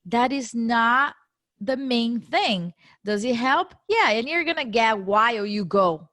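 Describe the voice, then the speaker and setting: A woman's voice: a little high, slow, dry recording, studio quality. A woman co-hosting a podcast, talking animatedly and laughing easily at her own grammar slips. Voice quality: non-native English accent. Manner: animated, laughs often, self-deprecating about grammar. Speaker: podcast co-host. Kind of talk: casual podcast chat.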